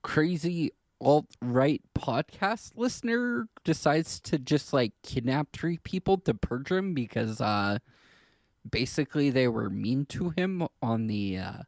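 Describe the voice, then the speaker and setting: A man co-hosting a podcast in a casual discussion, explaining things in an informal, halting and rambling way. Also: mid-range voice, very animated, no echo. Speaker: podcast co-host. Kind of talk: casual discussion of films and politics.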